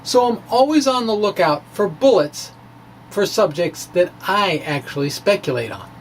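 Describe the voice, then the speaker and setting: A middle-aged physics blogger gives an informal dramatic reading, halting and emphatic, with trailing asides. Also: mid-range voice, animated, rough recording. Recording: background noise, ambient sound.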